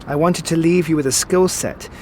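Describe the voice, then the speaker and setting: An actor as a suave, theatrical master thief. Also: male voice, fairly high pitch, moderate pace, darkish tone, slight background noise, close to an everyday voice. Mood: tender. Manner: theatrical, florid, pompous phrasing. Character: suave, sardonic older male master thief, wistful mentor. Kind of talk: audio drama acting. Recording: music behind the voice.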